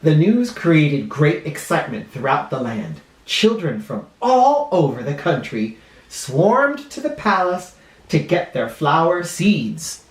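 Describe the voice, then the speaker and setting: A male teacher reading a picture book aloud to children expressively, pausing dramatically and explaining words in simple terms. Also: a little high, sing-song. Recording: roomy, echoing room sound.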